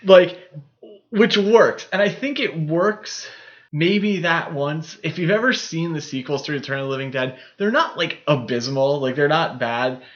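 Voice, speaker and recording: A man's voice: fairly high pitch, expressive, a little slow, lo-fi sound. A man co-hosting a podcast, casually discussing films in a rambling, explanatory way. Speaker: podcast co-host.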